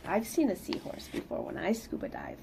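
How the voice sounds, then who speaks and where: A woman's voice: lowish voice, a little fast, creaky, noisy background. A middle-aged woman reading a children's picture book aloud expressively, with sing-song emphasis and casual asides. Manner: animated, sing-song emphasis for young listeners. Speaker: read-aloud storyteller. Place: small plain indoor room.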